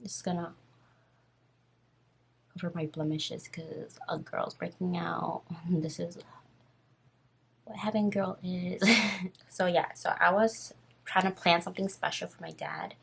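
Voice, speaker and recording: A woman in her twenties with a raspy voice, casually telling a story on her vlog while doing her makeup, rambling and full of fillers. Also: on the low side, steady tone, unhurried, long pauses. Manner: rambling, filler-heavy and nervous. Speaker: vlogger.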